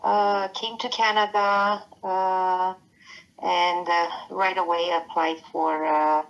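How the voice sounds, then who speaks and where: A woman with a Romanian accent speaks slowly over a muffled call line, her voice low and gravelly. She answers interview questions haltingly, filling pauses with many small hesitations. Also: level tone, a bit bright. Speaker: nurse. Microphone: phone call.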